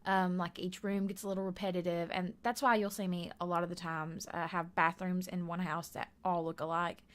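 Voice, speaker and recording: A hoarse woman chats loosely over her build footage, her voice sitting a little low. She drifts between thoughts with plenty of fillers and soft laughs, like a home video creator talking to her viewers. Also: little pitch movement, fairly quick. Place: bedroom at home.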